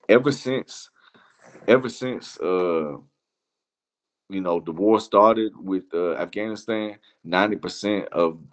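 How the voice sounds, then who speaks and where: Deep-voiced Iranian-born man, halting and slangy. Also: muffled recording. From Iran. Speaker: interview guest. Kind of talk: casual chat.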